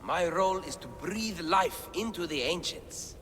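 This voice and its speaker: A man's voice: high voice, expressive, unhurried, a bit bright, in a large hall, slight background noise. An actor playing an eager male scholar deciphering ancient writing, talking about his discoveries with enthusiasm. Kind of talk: game cutscene acting.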